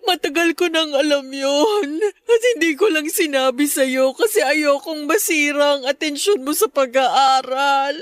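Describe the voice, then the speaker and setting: Heartbroken Filipino mother, very high tearful voice, slow delivery, desperate, melodramatic radio drama. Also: dry recording, studio quality. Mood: tearful, resigned. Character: heartbroken abandoned wife and mother, desperately clinging to family.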